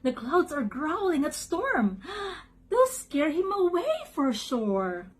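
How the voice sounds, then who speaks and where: A woman's voice: high voice, very animated, takes it slowly, pitched above the performer's own voice, full character voice. A woman voicing a flustered, nervous duck lookout in a children's storybook, exclaiming and repeating words. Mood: nervous reporting.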